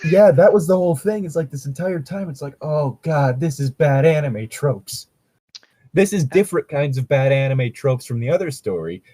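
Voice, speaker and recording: A man's voice: a little high, expressive, normal speed, dark tone, dry recording. A man chatting casually as a co-host, joking and ranting sarcastically with plenty of swearing. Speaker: co-host reading and mocking fan fiction.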